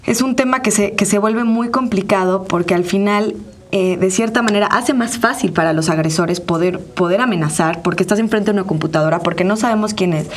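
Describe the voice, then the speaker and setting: A woman with a Mexican accent co-hosting a conversational interview, pausing as she searches for words. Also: mid-range voice, slight background noise. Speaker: co-host.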